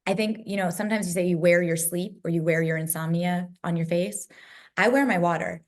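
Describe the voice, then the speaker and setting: A woman with a low voice, moderating a virtual panel discussion over a video call, emphatic and fond of building lists. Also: a little fast. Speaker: summit moderator.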